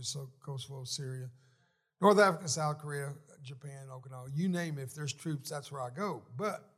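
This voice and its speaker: Gravelly-voiced man in his seventies. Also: medium pitch. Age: 70s. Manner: folksy and joking, halting with many restarts. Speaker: guest speaker at a church. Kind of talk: personal testimony sermon, delivered seated. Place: church.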